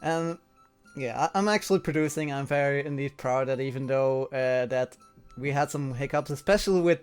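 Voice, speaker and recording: A man with a medium-pitched voice hosts a casual interview on an online call. He speaks informally and haltingly, thinking aloud, over faint guitar music. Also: smooth. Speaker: interviewer, fan project producer.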